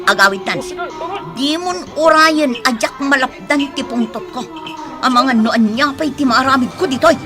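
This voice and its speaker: A woman acts out a young, hot-tempered girlfriend with a very high, emotional voice. She speaks with an Ilocano accent in radio drama style. Dramatic music plays clearly behind her. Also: normal speed, natural voice. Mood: angry, hurt.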